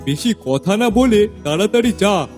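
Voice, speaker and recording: A man voicing a bossy, impatient master of a village household in a cartoon drama, brisk and scolding as he gives orders. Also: high voice, animated, darkish tone, faint music behind. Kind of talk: animated family drama acting.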